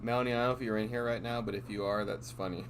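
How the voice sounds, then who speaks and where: A man with a booming, loud voice chatting casually and in a laid-back, slangy way as co-host of a livestream. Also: fairly even intonation, very fast. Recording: clearly noisy livestream audio.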